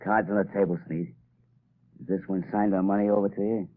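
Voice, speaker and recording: An actor playing a ruthless escaped-convict gangster, blunt, threatening and slangy, in a 1930s radio drama. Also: male voice, deep voice, level tone, brisk, slight background noise, natural voice. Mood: menacing, impatient. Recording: tinny, muffled 1930s radio recording.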